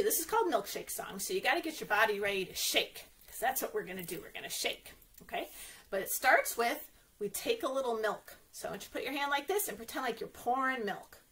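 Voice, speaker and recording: A woman's voice: high-pitched, fairly bright tone. A woman leading an interactive story time as a children's librarian, encouraging and sing-song, often putting questions straight to young listeners.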